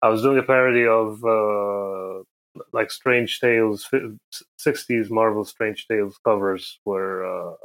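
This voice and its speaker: A middle-aged man from Egypt, chatting as an interview guest in a hesitant, halting way. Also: on the low side, fairly even intonation, fairly bright tone. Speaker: podcast guest, comic creator. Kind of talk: casual interview chat.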